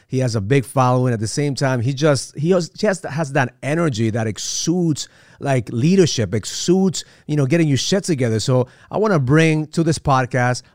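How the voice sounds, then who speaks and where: A man from Ecuador hosting a podcast interview, animated and often repeating words as he starts sentences. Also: on the high side, no room sound, studio quality. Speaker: podcast host. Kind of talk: casual interview.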